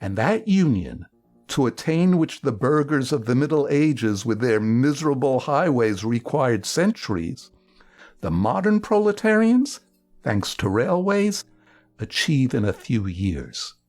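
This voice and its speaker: A man reading a historical political text dramatically, with emphatic, oratorical delivery in deliberate phrases. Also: medium pitch, very animated, a little mellow, faint music behind.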